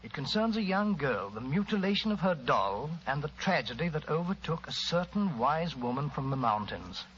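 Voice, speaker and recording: An actor playing a genial, easily flustered older English gentleman, proper and theatrical in a 1940s radio drama with a British accent. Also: on the high side, sing-song, normal speed. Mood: storytelling. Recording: dull, faintly noisy vintage radio recording.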